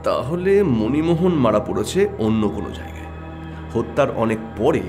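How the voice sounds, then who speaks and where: An actor playing a keen, brooding and excitable private detective in an audio drama, with a probing, emphatic delivery. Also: male voice, medium pitch, normal speed, music in the background, lightly acted.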